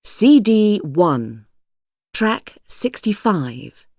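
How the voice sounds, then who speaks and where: Very slow, mellow woman announcer. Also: medium pitch, sing-song, no echo, clean studio sound. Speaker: course narrator. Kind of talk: announcing track numbers.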